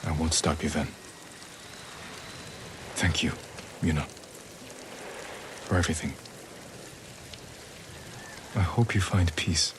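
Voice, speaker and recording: Samurai lord, game drama: very deep, restrained, grave, measured; faint rainy noise. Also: male voice. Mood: solemn. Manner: terse, measured, solemn. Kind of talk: dramatic video game acting.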